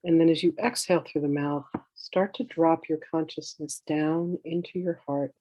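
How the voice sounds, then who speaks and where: A meditation teacher guiding in a soothing, unhurried way, with gentle instructions in drawn-out phrases. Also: medium pitch, fairly even intonation, no room sound, lo-fi sound. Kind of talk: guided meditation, slow instruction.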